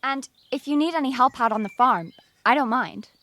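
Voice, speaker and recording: Woman acting a folksy young american gal in a ww2 radio play, big-hearted n outspoken, highish voice. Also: moderate pace, a bit bright. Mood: eager, friendly. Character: outspoken, warm-hearted young American woman, homesick wartime worker in Devon. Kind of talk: audio drama acting.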